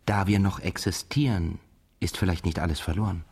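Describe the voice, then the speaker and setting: An actor in a literary radio drama playing a haunted, reflective narrator, courteous and quietly probing, with restrained, formal speech. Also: male voice, sits low, steady tone, a little fast, creaky.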